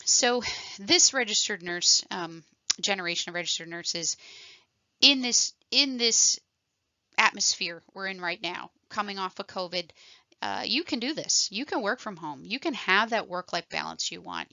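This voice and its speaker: A woman nurse talks through an online course in a loose, enthusiastic way, stumbling over restarts and fillers. Her voice is bright and forward, and the dry, slightly dull recording has no room sound to it. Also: mid-range voice, expressive, unhurried, no echo. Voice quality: self-described voice like a frog puppet. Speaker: telephone triage nurse and course presenter. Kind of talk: casual motivational presentation.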